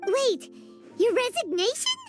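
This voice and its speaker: A tiny, chirpy fairy sidekick from an anime game. The voice is piercingly high and childlike, bouncing widely in pitch with earnest expression, over prominent music. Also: female voice, unhurried, heavily acted. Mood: surprised. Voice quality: squeaky, childlike. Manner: refers to herself in third person, expressive. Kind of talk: anime video game voice acting.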